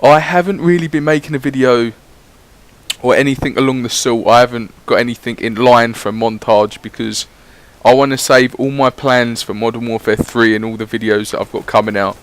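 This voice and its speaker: A man's voice: medium pitch, fairly even intonation, brisk. A man giving casual, rambling voice-over commentary on his gameplay, swearing freely as he chats. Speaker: gaming YouTuber.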